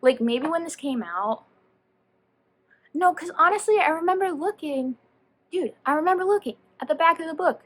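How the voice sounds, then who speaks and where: A woman giving a casual, chatty book review as a vlogger, animated and slangy, often rambling and interrupting herself. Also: very high voice, moderate pace, pauses often.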